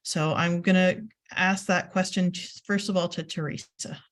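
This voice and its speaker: A woman's voice: fairly low pitch, steady tone, normal speed. A woman moderating a career panel, with upbeat spoken acknowledgements as she hands over to each guest.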